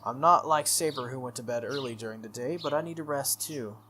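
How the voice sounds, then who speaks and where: A man's voice: medium pitch, brisk, bright, forceful tone, a little hiss or hum. A man reading visual novel narration aloud as the host of a playthrough. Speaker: gaming channel host. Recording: music under the voice. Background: game music.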